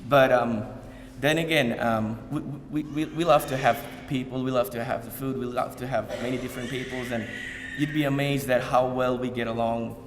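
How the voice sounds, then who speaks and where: A man from Bangladesh talks to an audience in a loose, unscripted way. He stops and restarts often and leans on fillers. His voice sits at a middle pitch and carries a long hall reverb. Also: fairly even intonation, a little hiss or hum. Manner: halting, many fillers and repeated starts. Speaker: community speaker. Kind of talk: informal public talk to an audience. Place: event hall.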